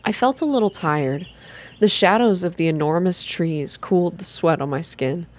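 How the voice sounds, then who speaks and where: A woman softly reading a scripted first-person story in a measured ASMR style, as narrator. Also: on the low side. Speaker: reader, narrator.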